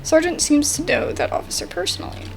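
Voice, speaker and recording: A young woman commentating on a game in a high, creaky voice. She is informal and expressive, switching between chat and dramatic reading, with noticeable background noise. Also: fast. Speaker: gaming video host.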